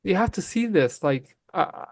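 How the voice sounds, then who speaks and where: A man's voice: high voice, lively intonation, darkish tone, no echo, studio quality. Emphatic Somali-speaking man, arguing hotly. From Canada. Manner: emphatic, fast, calls people bro. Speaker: guest on a livestream debate. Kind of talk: heated casual argument.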